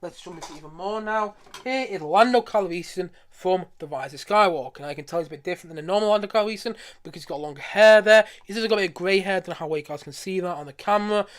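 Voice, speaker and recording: Casting: male live streamer, high-pitched voice, quick delivery, enthusiastic and rambling, casual. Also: fairly bright tone. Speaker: streamer showing a collection.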